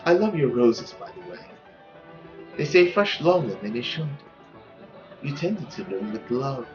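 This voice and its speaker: A performer voicing a suave, courtly French marquis, gracious and gently condescending in theatrical, flowery, unhurried speech. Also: medium pitch, very animated, pitched below the performer's own voice. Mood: gentle, charming. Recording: dull lo-fi audio with faint music.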